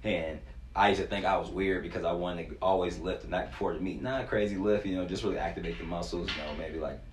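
Very deep-voiced young man, echoey room. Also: fairly even intonation, fast talker. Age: 20s. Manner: informal, slangy, earnest, frequent "you know". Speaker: youth sports performance coach, interview guest. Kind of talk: casual conversational interview. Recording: echoey room, faint background noise.

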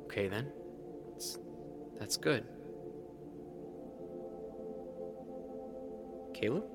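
A man's voice: fairly low pitch, measured pace, gravelly. Young American male, anxious, sensitive student in love, naturalistic audio drama, scored with music. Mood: uneasy, subdued. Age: young adult.

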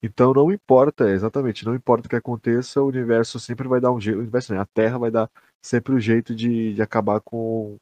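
A Brazilian man with a mid-pitched voice, co-hosting a podcast and chatting casually as he theorizes. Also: flat delivery, fast talker, dark tone, no echo. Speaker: podcast co-host. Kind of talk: casual chat, theorizing about a series.

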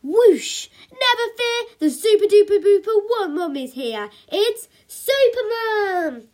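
A high-voiced, boastful superhero mum, acted out by a woman reader for a children's storybook. She makes bombastic, grand proclamations as a daring champion in costume. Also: big pitch swings, takes it slowly, a little mellow, exaggerated voice. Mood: triumphant.

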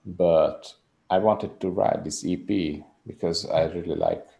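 A man's voice: deep voice, a little slow, creaky, warm tone, dull audio. A man in his thirties from Hungary, speaking English with a Hungarian accent, a musician guest earnestly explaining his work in a casual interview. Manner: earnest, rambling explanations with restarts.

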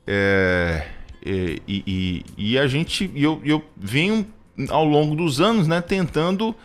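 A man's voice: a little high, expressive, slow pace. Earnest hesitant man in his thirties. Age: 30s. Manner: hesitant, many restarts and fillers, earnest. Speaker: radio program co-host.

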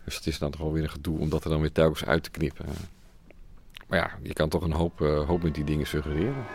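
A man's voice: a bass voice, fairly even intonation, fast talker, noisy background. A man talking casually as a sound designer in an interview, informal and hesitant. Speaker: radio drama sound designer. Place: studio.

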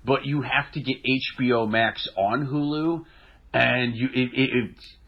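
A young man with a cold and a nasal voice, chatting casually on a podcast with dry deadpan jokes. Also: medium pitch, measured pace. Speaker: podcast co-host. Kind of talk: casual chat. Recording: dull lo-fi audio.

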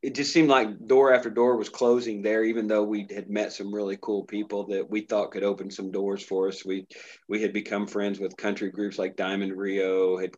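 Middle-aged male pastor, laid-back storyteller with lots of restarts, lower voice, muffled recording. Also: flat delivery, moderate pace, darkish tone. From Indiana, USA. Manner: rambling storyteller, frequent restarts. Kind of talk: casual interview conversation.

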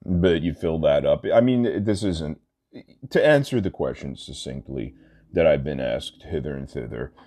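Very deep-voiced man, stuffy and rambling. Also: moderate pace. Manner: rambling, many "uh" fillers and self-corrections; relaxed, smoking while talking. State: stuffy nose from allergies. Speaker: podcast host. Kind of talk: casual solo podcast talk.

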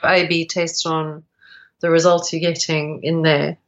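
South african woman, lowish voice, kinda hesitant, muffled call audio. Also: flat delivery, a little slow. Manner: hesitant, many fillers and restarts. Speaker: app developer asking for advice. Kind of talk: casual advice call.

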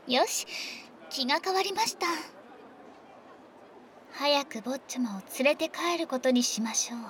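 Woman voicing a dragon maid, possessive, formal and polite, breathy whisper, high voice, slow unhurried delivery, ASMR audio drama. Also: animated, some chatter behind, a little hiss or hum. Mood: jealous. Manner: formal servant's speech, often soft and whispery. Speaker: voice actress.

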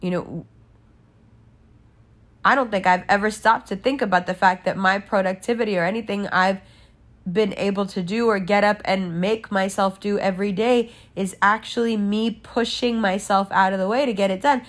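A woman's voice: mid-range voice, steady tone, moderate pace. A woman giving a candid personal monologue in a blunt, emphatic way, with frequent swearing. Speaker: podcast and channel host.

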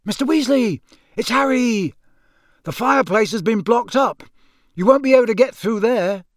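Man voicing an earnest, higher-pitched teenage boy. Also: sing-song, measured pace, a bit bright, no echo, clean studio sound, exaggerated voice. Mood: urgent calling. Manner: urgent, helpful, explaining.

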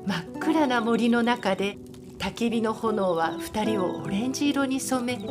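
A woman reading scripted narration for a radio drama. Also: mid-range voice.

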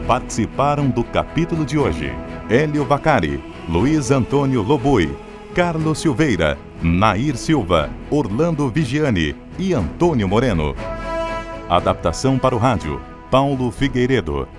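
A man's voice: medium pitch, very animated, unhurried. A man with a Brazilian accent reading scripted radio announcements in a formal, emphatic way. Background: prominent music.

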